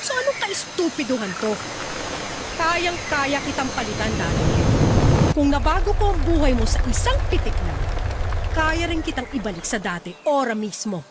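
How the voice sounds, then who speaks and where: An actress playing a wealthy, bitter, domineering mistress who commands and taunts in a radio drama. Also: female voice, high-pitched, sing-song, normal speed, heavy background noise. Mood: angry, threatening. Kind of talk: Tagalog radio drama acting. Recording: music playing behind the voice.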